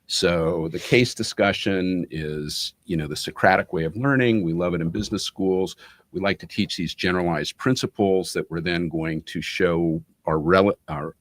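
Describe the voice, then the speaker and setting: Very deep-voiced professorial man. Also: moderate pace, no room sound. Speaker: interview guest, business school academic. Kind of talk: explanatory conversational interview answer.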